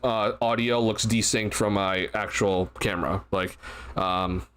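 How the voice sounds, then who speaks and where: A man's voice: lowish voice, fairly even intonation, measured pace, a bit bright, smooth. A tired, slightly stuffy young man hosting a casual postgame livestream, rambling with lots of fillers and restarts into a desk mic. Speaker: sports livestream host. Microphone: desk mic at a streaming setup.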